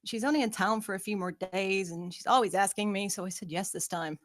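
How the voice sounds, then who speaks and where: A woman's voice: mid-range voice, brisk, no room sound, clean studio sound, lightly acted. A woman playing a reluctant, casually grumbling friend in a screenplay table read.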